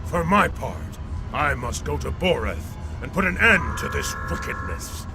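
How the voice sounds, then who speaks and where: An actor playing a legendary alien warrior emperor in a video game, proud and vengeful, speaking in a solemn, grandly declamatory way. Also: male voice, medium pitch, very animated, measured pace, vocal fry. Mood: grim, vengeful. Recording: music behind the voice.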